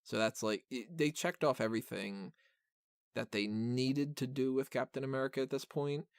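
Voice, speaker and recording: A man with a clean, smooth voice at an ordinary pitch hosts a casual film podcast. He rambles informally like a keen fan, with the odd swear word. The sound is dry and close, with very little room. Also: fairly even intonation, moderate pace, dry recording. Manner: rambling, informal, mildly profane fan talk. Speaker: podcast host reviewing films.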